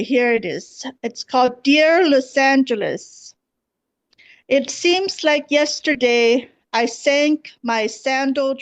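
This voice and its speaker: A woman poet at an open mic, speaking in a measured, deliberate manner over an online call. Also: high-pitched. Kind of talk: poetry reading and brief casual remarks. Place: online video gathering.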